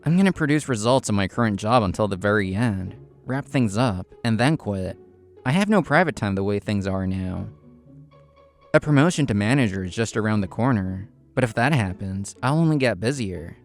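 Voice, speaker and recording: A man's voice: on the low side, animated, moderate pace, soft background music. A man playing a proud, competitive young consultant in a manga drama, intense and curt, narrating and speaking his lines. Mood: determined. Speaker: narrator and main character.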